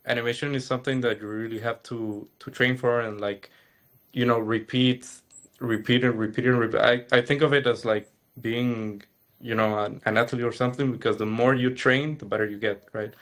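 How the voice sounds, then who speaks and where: A man, an animation student, casually explaining his coursework on a video call, with lots of "you know" and "right". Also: mid-range voice. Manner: relaxed, rambling with fillers like "you know" and "right".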